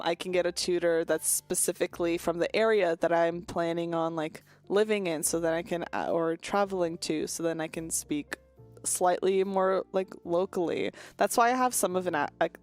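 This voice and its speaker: A woman's voice: fairly low pitch, steady tone, moderate pace. Young woman streamer just chatting, rambly and blunt, swears a bit, faint music behind her. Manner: candid, rambling, frequent "like" and "um", swears casually. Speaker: VTuber streamer. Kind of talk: casual chat answering viewer questions.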